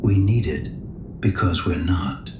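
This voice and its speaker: An actor playing an elderly male priest in an audio drama, calm, gentle and earnest as he hears confession. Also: low-pitched, slow, roomy sound, background noise. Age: older.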